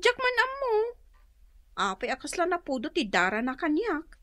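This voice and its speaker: An actress playing a disappointed, aggrieved younger wife in a comedic radio drama, with a plaintive manner. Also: female voice, high-pitched, huge pitch swings, moderate pace, sharp tone, no room sound, studio quality, heavily acted. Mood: upset, plaintive.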